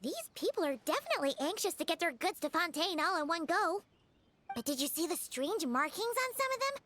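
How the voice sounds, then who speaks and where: A woman voicing a small, excitable, curious floating girl companion in a video game, with a squeaky childlike voice. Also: a little fast, a little hiss or hum. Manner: refers to self in third person, exclamatory. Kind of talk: video game character voice acting. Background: music.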